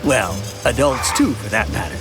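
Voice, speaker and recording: A man narrating an animated short as a contented townsman, telling a scripted first-person story in a conversational storytelling manner. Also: mid-range voice, moderate pace, natural voice. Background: music.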